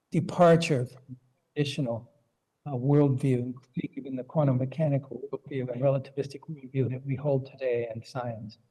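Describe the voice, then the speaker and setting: Older man, mellow and measured. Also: medium pitch, rough recording. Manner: measured and earnest, with frequent ums. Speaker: conference participant. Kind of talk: comment in a conference Q&A.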